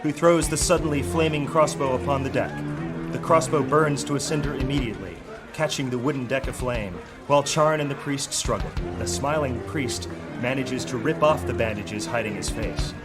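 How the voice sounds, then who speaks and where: A man narrating a high fantasy audio drama with dramatic storytelling delivery. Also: normal speed, over loud music, close to an everyday voice. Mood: dramatic, grave. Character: male narrator of dark high fantasy adventure.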